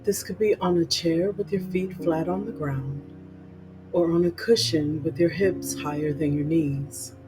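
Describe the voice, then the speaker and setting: A meditation guide reading a scripted guided meditation in measured, instructional, spaced-out phrases. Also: mid-range voice, fairly even intonation, poor audio. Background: plucked guitar music.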